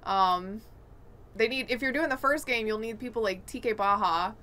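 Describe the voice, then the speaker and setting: A woman with a very bright, forward voice pitched on the high side. She chats casually as a podcast co-host and gives her opinions bluntly. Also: moderate pace. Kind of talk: casual chat about games and films.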